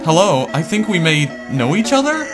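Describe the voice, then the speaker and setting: A man voicing a gentle, motherly goat-woman queen who speaks softly and politely, with music in the background. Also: on the high side, big pitch swings, moderate pace. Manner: soft, polite, caring.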